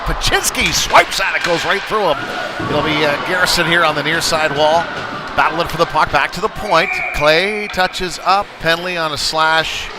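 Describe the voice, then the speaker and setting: A man's voice: on the high side, moderate pace, very noisy. A man doing casual, conversational live play-by-play commentary of a youth hockey game from a rink, on a headset. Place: chilly ice rink.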